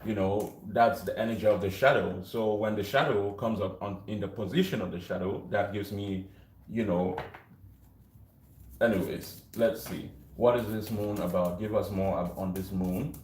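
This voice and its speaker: A man with a deep, low voice giving a rambling tarot reading to a viewer, often breaking off mid-thought, recorded without a microphone. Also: steady tone, moderate pace, a little mellow. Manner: rambling, self-interrupting, frequent fillers. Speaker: tarot reader. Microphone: no microphone, built-in device mic. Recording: echoey room.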